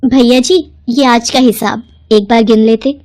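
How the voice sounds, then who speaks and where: A woman's voice: on the high side, fairly even intonation, a little slow, a little mellow, lightly acted. A woman voicing a poor, humble young village mother in a cartoon story, polite and pleading. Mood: polite, earnest.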